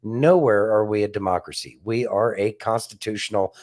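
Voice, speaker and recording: A man's voice: lowish voice, normal speed, darkish tone. Emphatic man talking politics. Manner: emphatic, repeats phrases for stress. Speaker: podcast co-host. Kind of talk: casual political commentary and discussion.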